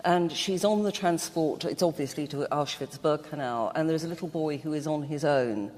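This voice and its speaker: A woman's voice: low voice, normal speed, a little mellow. Woman speaking in a very reverberant hall. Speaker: guest discussing a novel. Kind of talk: reflective literary discussion.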